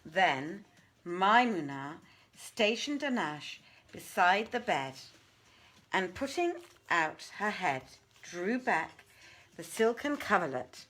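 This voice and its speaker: A woman reading a classic tale aloud as a dramatic, deliberate and theatrical storyteller. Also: on the low side, big pitch swings, fairly bright tone. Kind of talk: dramatic literary narration.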